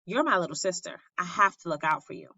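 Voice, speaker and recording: Woman reading as firm, bright-voiced older sister. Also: fairly low pitch, expressive, fast talker, no room sound, lo-fi sound, exaggerated voice. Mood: stern, protective. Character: stern, protective older sister, a schoolgirl.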